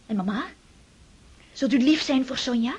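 A woman's voice: high-pitched, moderate pace, a little mellow, slightly rough. An actress playing an impassioned young daughter who defends her brother. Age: young adult. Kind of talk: radio drama acting.

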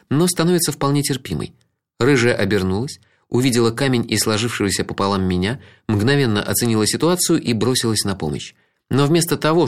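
Brief: male narrator with a mid-pitched, animated voice, wry reflective storytelling. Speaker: audiobook narrator. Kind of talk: first-person literary narration.

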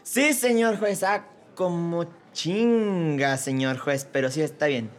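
A man's voice: a little high, slow pace. A man with a Mexican accent voicing a lazy, sarcastic court secretary in a comedy radio drama. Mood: cheeky. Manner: sarcastic and grumbling.

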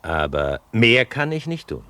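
An actor playing a dignified, sceptical colonial governor in a radio drama, measured, patronising and authoritative. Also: male voice, fairly low pitch, very animated, bright, forceful tone, smooth, dry recording, full character voice. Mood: official, dismissive. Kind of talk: 1970s German radio drama acting.

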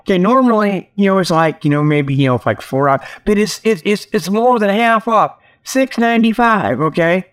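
A man hosting a comedy show, giving a rambling, folksy monologue full of mock sales pitches. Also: lively intonation, moderate pace, few pauses. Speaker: host of a comedy talk show.

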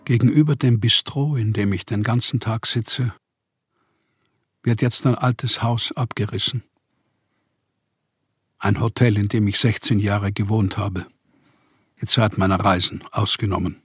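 An actor playing a weary, homeless older male writer in exile, speaking a melancholy, measured monologue in a radio drama. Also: fairly low pitch, steady tone, slightly rough, no room sound, clean studio sound. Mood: weary sorrow.

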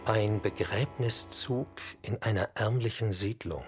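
A man's voice: lowish voice, a little slow, faint music behind. A man reading scripted narration for a radio documentary drama.

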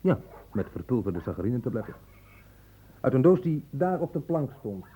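A man's voice: mid-range voice, very animated, normal speed, mellow, breathy edge. An actor playing an easygoing, wryly joking male police inspector in a Dutch radio play. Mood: wry, assured. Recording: faintly noisy old radio recording.

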